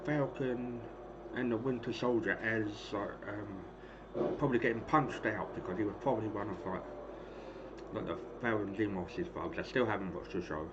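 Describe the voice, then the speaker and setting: A hoarse man in his thirties casually calling the action of a wrestling game he is streaming, rambling and clearing his throat often. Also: on the low side, moderate pace. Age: 30s. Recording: dull lo-fi stream audio with clear noise.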